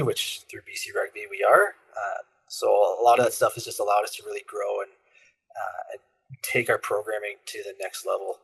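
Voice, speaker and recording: Canadian male interview guest, earnest explainer, husky low voice. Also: a bit bright, low-quality recording. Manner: earnest, explains step by step with fillers. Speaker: rugby federation leader, guest. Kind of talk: casual interview.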